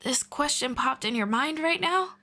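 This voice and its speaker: A woman voicing a gentle, patient female elf mentor in an ASMR roleplay, speaking softly and slowly and slipping into whispers. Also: high-pitched, animated, full character voice. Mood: playful. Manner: soft-spoken, slow and patient, drifting into whispers. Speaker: voice actor.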